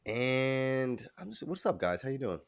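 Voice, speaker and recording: A man hosting a casual podcast, a little hoarse and nasal from a cough, opening with upbeat emcee-style lines before easing into relaxed banter. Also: medium pitch, a little slow, dry recording, studio quality. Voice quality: a bit nasal. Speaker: podcast host.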